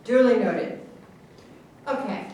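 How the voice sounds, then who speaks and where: A woman's voice: fairly high pitch, mellow. Woman acting pompous government official in echoey room. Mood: formal, secretive. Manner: pompous, lecturing, deliberate emphasis. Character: government official earnestly explaining absurd secret science. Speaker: actor in a staged reading. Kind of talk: theatrical staged reading of a satirical play. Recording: very echoey room, distant mic, faint noise.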